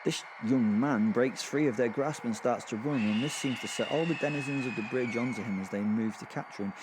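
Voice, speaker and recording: Over clearly noisy ambience comes a man acting as a male werewolf with a mid-pitched voice and a cruel streak. He narrates horror in a chatty, wandering way, hesitating over his words and treating the grisly with gleeful, offhand understatement. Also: brisk, lightly acted. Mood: thrilled. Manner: conversational, rambling, hesitant, darkly amused understatement. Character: gleeful, sadistic male werewolf killer marvelling at Hell. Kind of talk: first-person horror audio drama narration.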